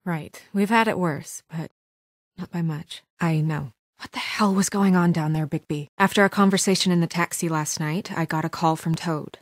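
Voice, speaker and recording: A woman playing a composed, determined, guarded young fairy-tale heroine in a video game, speaking in an earnest, firm, measured way. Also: fairly low pitch, no room sound, clean studio sound, close to an everyday voice. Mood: somber, uneasy. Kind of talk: naturalistic video game voice acting.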